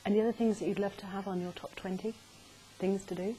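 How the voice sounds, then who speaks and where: A woman's voice: medium pitch, fairly even intonation, fast talker, dark tone. A woman hosting a live on-stage interview, putting questions to her guest. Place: arts centre stage before an audience. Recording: faintly noisy live stage recording.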